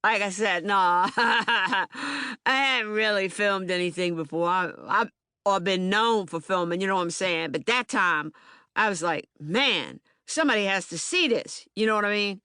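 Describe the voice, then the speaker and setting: A woman's voice: medium pitch, moderate pace, pushed and bright, clear, resonant tone, no echo, studio quality, close to an everyday voice. A woman performing a theater monologue as an agitated, streetwise young man, speaking emphatically and colloquially with stammered repetitions. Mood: animated, proud. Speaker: actor in documentary theater. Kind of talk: dramatic monologue, audiobook theater.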